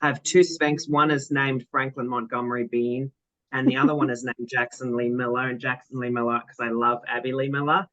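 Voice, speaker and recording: A man from South Africa gives a chatty, rambling self-introduction as a netball coach. His voice is bright and forward. The sound is dry and close, through a video-call mic. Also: medium pitch, little pitch movement, brisk, dry recording, dull audio. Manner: chatty, laughing, rambling anecdotes.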